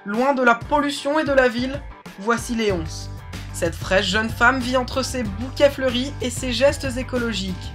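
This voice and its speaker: A woman's voice: a little high, moderate pace. A woman reading scripted voice-over narration for a parody reality TV show. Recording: loud music under the voice.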